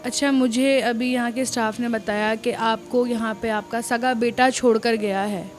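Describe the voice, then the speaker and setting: A woman's voice: a little high, fairly even intonation, moderate pace. A woman with a Pakistani accent hosting an on-location interview, asking wordy questions sprinkled with some English words. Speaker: interviewer and host. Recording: music laid under the voice.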